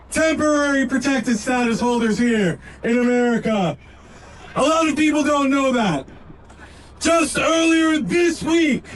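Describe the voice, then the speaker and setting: A man speaking at an outdoor rally, leading call-and-response chants and shouting slogans. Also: extremely high pitch, poor audio. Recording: loud crowd and street noise outdoors. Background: loud cheering crowd.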